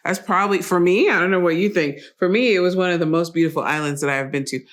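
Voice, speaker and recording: A woman's voice: on the low side, quick pace. A woman hosting an interview in a casual, conversational style. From Trinidad and Costa Rica background. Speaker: interview host.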